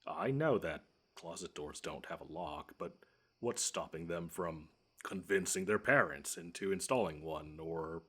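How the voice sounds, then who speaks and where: Audio drama: pompous, grumbling male bed monster, man performing, low rough register, sardonic and menacing. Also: fairly bright tone, heavily acted. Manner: pompous, wordy, drawn-out menace with dry sarcasm. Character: grumpy, pompous male monster lurking under a child's bed. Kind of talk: scripted audio roleplay monologue.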